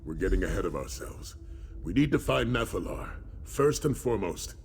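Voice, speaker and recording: A man playing a serious, watchful warrior-scholar in a fantasy video game, speaking in a grave, deliberate manner. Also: sits low, sing-song, gravelly, slight background noise, exaggerated voice. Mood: focused, grave.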